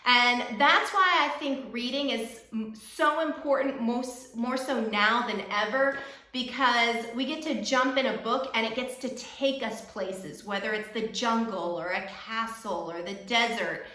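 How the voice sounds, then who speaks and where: Bright-voiced woman reading to children, roomy sound. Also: on the high side, unhurried. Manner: encouraging, addressing children directly. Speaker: teacher reading a picture book aloud. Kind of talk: expressive children's storybook read-aloud.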